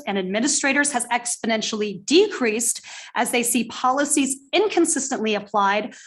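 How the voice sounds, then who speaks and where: A woman giving a firm, pointed public comment as a parent at a school board meeting, speaking loudly into a podium microphone. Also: a little high. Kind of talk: reading a prepared public statement.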